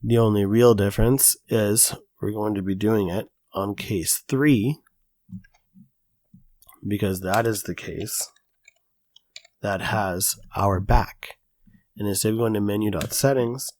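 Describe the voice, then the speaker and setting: Man, slightly low voice, raspy from recent illness, casual tutorial host with plenty of ums, dry close mic. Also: measured pace, a little mellow, no echo. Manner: casual, chatty, many fillers. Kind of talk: screen-recorded coding tutorial.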